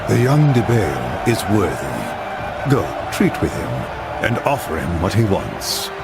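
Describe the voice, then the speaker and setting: An actor playing an imperious, menacing lord in a video game, solemn and deliberate with a deep gravelly voice. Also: male voice, huge pitch swings, heavily acted. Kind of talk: video game cutscene acting. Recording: very loud music behind.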